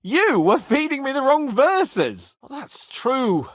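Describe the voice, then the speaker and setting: A man's voice: very high voice, wildly swooping pitch, slow pace, a bit bright, no room sound, studio quality, full character voice. A man playing a cocky, hot-tempered young medieval nobleman in a video game, loyal but boastful, with a swaggering, teasing manner. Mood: indignant, exasperated. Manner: swaggering, teasing, colourful swearing. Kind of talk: video game character acting.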